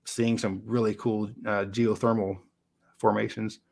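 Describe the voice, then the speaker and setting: A man's voice: fairly low pitch, level tone, unhurried, gravelly, darkish tone. A man with an American accent in a casual, unscripted conversation, thinking aloud and sometimes trailing off as a geography creator. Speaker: YouTube geography creator in a two-way interview.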